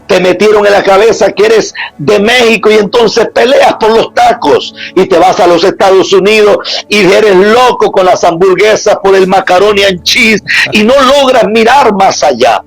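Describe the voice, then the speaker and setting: A man's voice: high-pitched, moderate pace, vocal fry, husky, faint music behind, rough recording. A man preaching a sermon as an apostle, emphatic and driving, stacking up repeated rhetorical lists.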